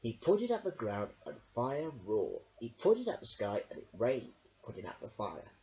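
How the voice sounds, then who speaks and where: A woman reading a children's story aloud in a theatrical, expressive way. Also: rumbling low, normal speed. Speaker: story reader. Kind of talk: dramatic children's storybook narration. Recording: dull lo-fi home recording.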